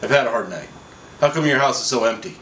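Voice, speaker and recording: Man doing a gruff, irritable burglar, roomy. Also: medium pitch, expressive, quick pace, bright, forceful tone. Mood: threatening. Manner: curt, slangy. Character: gruff, weary male burglar, bossy and irritable. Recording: roomy echo, faint background noise.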